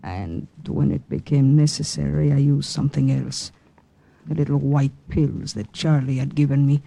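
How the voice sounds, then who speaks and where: A woman's voice: rumbling low, normal speed, gravelly, dark tone, exaggerated voice. An actress playing a brave, guarded young female spy, narrating and acting in a vintage radio drama. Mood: reflective, tense. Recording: old radio recording with music behind.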